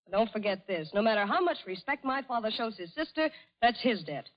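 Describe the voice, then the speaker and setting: A woman's voice: a little high, big pitch swings, fairly quick. An actress playing a headstrong, flippant young society woman in an old-time radio drama. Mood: defiant, hurt. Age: young adult. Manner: flip, bantering, self-assured. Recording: dull vintage radio recording with music.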